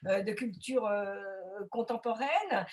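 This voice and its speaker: A woman moderating an online debate, introducing a speaker in a halting way with many fillers and repeated words. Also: on the high side, animated. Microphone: video call.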